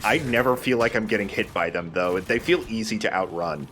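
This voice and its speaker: A man's voice: fairly low pitch, fairly quick, a bit bright, a little hiss or hum. A man giving casual, opinionated commentary over a video game, explaining how it works as he goes. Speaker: host of a video game let's play.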